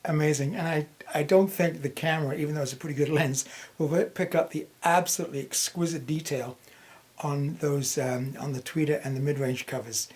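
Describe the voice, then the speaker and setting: A man's voice: on the high side, fairly even intonation, fairly quick, darkish tone, slightly rough. A man hosting a casual product review, talking informally as he introduces and asks questions. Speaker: reviewer and host.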